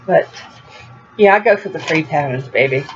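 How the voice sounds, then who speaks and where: A woman's voice: sits low, lively intonation, moderate pace, highs cut off, soft background music. An older woman from Florida chatting casually to her viewers as a crafting vlogger, rambling a little, with a stuffy nose and a slight cough. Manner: chatty, rambling, laughs at herself. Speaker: crochet vlogger. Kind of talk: casual chat to camera.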